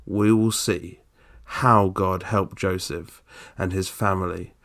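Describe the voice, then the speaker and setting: An older man reading a scripted Bible story for children in simple, deliberate phrases with frequent pauses. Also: low-pitched, steady tone, fairly bright tone.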